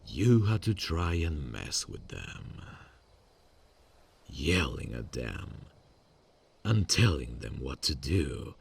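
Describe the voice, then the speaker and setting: A man voicing a gravelly whispering campus killer. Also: slow, pauses often, heavily acted. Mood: taunting. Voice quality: gravelly, husky low voice. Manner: calm, quiet, menacingly soft, often near a whisper. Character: soft-spoken male campus serial killer, calm and menacing. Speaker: voice actor. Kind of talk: ASMR audio drama.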